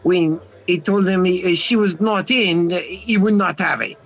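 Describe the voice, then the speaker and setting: A man's voice: sits high, a little slow, a bit bright, faint music behind. A man playing a flustered nightclub manager in an amateur radio play. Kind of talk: hobby radio play acting.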